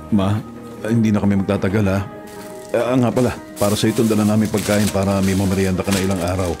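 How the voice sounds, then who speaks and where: An actor playing a devoted, burdened eldest son, hesitant and earnest, in a Filipino radio drama. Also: male voice, fairly low pitch, little pitch movement, fast talker, close to an everyday voice. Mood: pleading. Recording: loud music bed behind voice.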